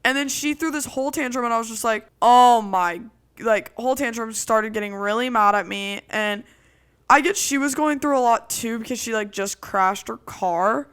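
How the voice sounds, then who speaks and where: A young woman co-hosting a podcast, telling a roommate story in casual chat with a loud, projecting voice and lots of "like". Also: a little high, normal speed, clear, resonant tone. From Italian roots. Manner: animated storytelling with frequent "like". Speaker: podcast co-host.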